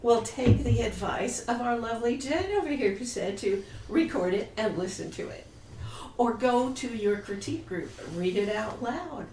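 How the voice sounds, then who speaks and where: A woman's voice: on the high side, lively intonation, moderate pace, a little mellow, distant mic. Heard a little away from the mic in a reverberant room with faint noise, a woman argues her opinion. She is blunt and contrarian, posing emphatic questions as she discusses among fellow writers. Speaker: writer and panelist.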